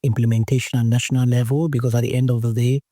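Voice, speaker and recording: A man's voice: mid-range voice, level tone, fast, warm tone, no echo, studio quality. A man with a Nigerian accent speaking as an activist in a panel discussion. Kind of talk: spoken discussion.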